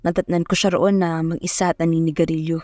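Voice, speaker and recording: A woman's voice: low-pitched, level tone, fast talker, darkish tone, no echo, studio quality. A young woman narrating an audio romance serial in the first person, as a dramatic storyteller. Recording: music bed under the voice.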